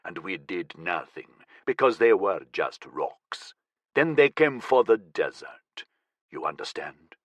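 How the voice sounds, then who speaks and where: A man voicing a cold, menacing militant leader who speaks slowly in a low, dangerous tone. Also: sing-song, fairly bright tone, no room sound, heavily acted.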